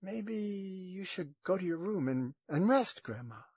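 A man's voice: high voice, lively intonation, measured pace, darkish tone, no room sound, pitched above the performer's own voice. A wary young woman who deals in salvage, read by a man. She talks casually but evasively, hesitating as she explains herself, in a dry audiobook recording. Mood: worried.

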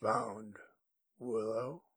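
A righteous, suspicious ranger, acted out by a male narrator, growling with slow menace. The audiobook voice sits close and dry, every phrase weighted like a threat. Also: mid-range voice, breathy edge, no room sound. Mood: threatening. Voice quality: growling, sometimes purring.

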